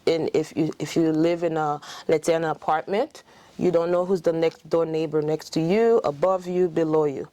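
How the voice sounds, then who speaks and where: A woman's voice: deep voice, fairly even intonation, normal speed, dark tone, no echo. A middle-aged Haitian woman whose first language is French, speaking in a Haitian French accent as a dentist and clinic owner in a casual interview, telling her story with many fillers and restarts.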